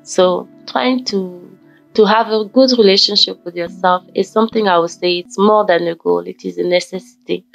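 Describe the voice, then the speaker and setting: A woman from Ghana talking casually in a motivational vlog, with a croaky just-woken voice and a rambling manner that repeats and corrects itself. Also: medium pitch, fairly even intonation, moderate pace. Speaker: vlogger. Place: forest fitness trail outdoors. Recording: dull lo-fi audio with faint background music.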